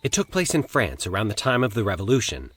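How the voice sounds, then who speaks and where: A man's voice: fairly low pitch, wildly swooping pitch, quick pace, a bit bright, husky, full character voice. A man voicing a fussy, uneasy horror fan who recounts a tale in a formal, defensive, precise way. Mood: careful recounting. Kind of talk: horror audio story dialogue.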